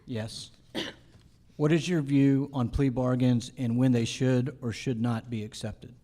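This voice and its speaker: A man's voice: mid-range voice, steady tone, measured pace, warm tone. A man moderating a candidate forum with brief, polite, businesslike prompts.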